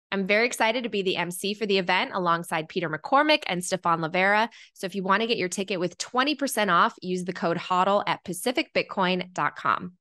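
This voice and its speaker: A woman's voice: mid-range voice, fairly bright tone. A woman from Chicago hosting her podcast, reading her intro and sponsor spots and chatting with a guest in an upbeat, enthusiastic way. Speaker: podcast host.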